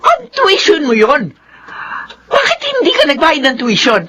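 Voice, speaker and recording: A woman's voice: sits high, wildly swooping pitch, unhurried, noisy background, exaggerated voice. An actress playing an exasperated, nagging middle-aged mother of grown sons in a Filipino radio drama. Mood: angry scolding. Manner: nagging, fretful.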